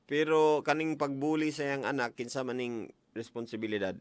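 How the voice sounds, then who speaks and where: A man's voice: mid-range voice, flat delivery, normal speed. A man with a Cebuano accent hosting a casual radio call-in, chatting in a playful, teasing, informal way. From Philippines. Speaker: radio host. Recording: music playing under the voice. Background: background music.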